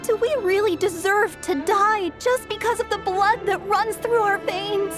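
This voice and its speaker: An actress playing a small, anxious young persecuted character in a video game, pleading fearfully, with music behind. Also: female voice, very high voice, measured pace. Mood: desperate, pleading. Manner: anxious, imploring. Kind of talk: scripted video game voice acting. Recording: game dialogue over clear music.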